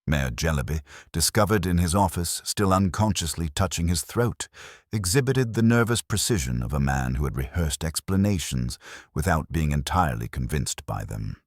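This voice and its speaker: Male narrator, very deep and dry-recorded, for measured, wry storybook mystery narration. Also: expressive, no room sound. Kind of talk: scripted narration of a cosy British mystery story.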